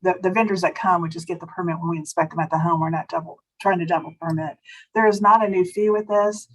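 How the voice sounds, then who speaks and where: A woman giving a food safety presentation at a webinar, slightly hoarse and explaining step by step with small restarts. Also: fairly low pitch, steady tone, fast talker, low-quality recording. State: slightly hoarse, losing her voice.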